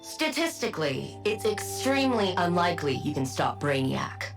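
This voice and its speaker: A cold, calculating scientist speaks in clipped, measured lines. Her voice sits low. Game music plays underneath. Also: big pitch swings, creaky. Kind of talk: video game cutscene acting.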